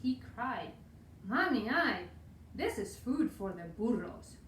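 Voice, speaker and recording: A woman from Spain reads a picture book to children, her Spanish accent clear and her delivery lively and rhythmic. She sits some way from the camera in a small room with a roomy echo. A faint hiss sits under her voice. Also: fairly high pitch, takes it slowly, distant mic. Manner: lively, rhythmic read-aloud with Spanish words. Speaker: storytime reader. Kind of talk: reading a picture book aloud. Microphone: far camera.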